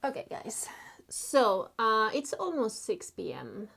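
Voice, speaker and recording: Slow-talking woman from Spain. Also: on the high side, big pitch swings, creaky, fairly bright tone, slightly rough. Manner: halting, many fillers, reads chat messages aloud. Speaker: artist live streaming while drawing. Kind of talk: casual live stream chat.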